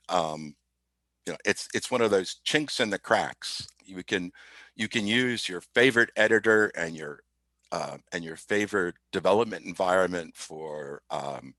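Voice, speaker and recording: A man explaining software informally as an interview guest, methodical and somewhat halting in his delivery. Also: low voice, no room sound. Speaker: podcast guest, IT specialist. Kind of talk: informal software demo talk.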